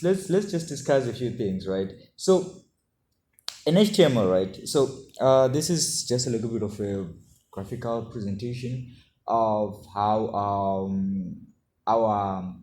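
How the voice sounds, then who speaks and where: A man giving an informal coding tutorial to camera, halting as he explains and often checking in with short tags. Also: lowish voice, dark tone. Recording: roomy echo, faint background noise.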